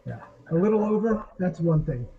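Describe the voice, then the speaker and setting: An actor playing an exasperated, sarcastic, foul-mouthed television line producer in a screenplay read. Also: male voice, sits high, expressive, normal speed, creaky, mellow, dull audio, slight background noise.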